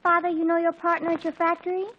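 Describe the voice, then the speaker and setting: A high-pitched teenage girl rattles along at a quick clip in an old radio comedy. She is eager, dramatic and self-important, gushing or exasperated. The recording is muffled and vintage. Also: monotone, a little hiss or hum. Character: eager, dramatic, boy-crazy teenage daughter. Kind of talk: 1940s radio comedy acting.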